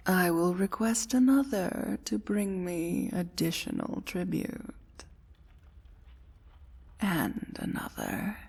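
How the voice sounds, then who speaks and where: A woman playing a domineering, dangerous dragon queen in a soft-spoken fantasy ASMR roleplay, breathy and often whispering, with formal, imperious diction. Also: medium pitch, exaggerated voice.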